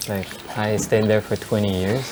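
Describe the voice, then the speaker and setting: A man's voice: on the low side, steady tone, normal speed, noisy background. A man in his twenties who grew up in Korea, a musician, chatting casually as an interview guest. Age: 20s.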